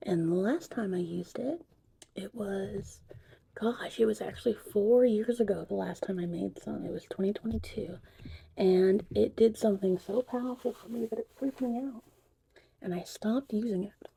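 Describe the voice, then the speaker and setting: A woman hosting a soft-spoken ASMR tutorial roleplay, chatting casually in a hushed voice that drifts in and out of whispers. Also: medium pitch, normal speed, creaky, slight background noise. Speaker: ASMR creator and host.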